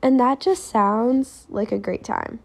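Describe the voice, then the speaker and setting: A young woman chatting alone on her podcast, her voice a bit raspy today and fried at the edges. She sits a little above the usual pitch for a woman and talks in a relaxed, reflective way, voicing her own thoughts as she goes. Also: slow. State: voice more raspy than normal. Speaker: podcast host. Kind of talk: casual solo podcast talk.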